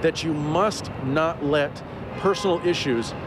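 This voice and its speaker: Male interviewee, middle-aged spokesman, higher than average pitch, news soundbites with noticeable traffic behind. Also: measured pace. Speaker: air traffic controller, union spokesman. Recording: clear vehicle and street noise.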